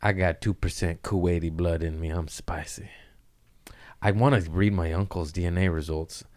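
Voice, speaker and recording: A man hosting a comedy podcast, chatting casually and riffing in slang with deadpan, absurd humor. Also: low-pitched, moderate pace, no room sound. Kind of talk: casual joking podcast chat.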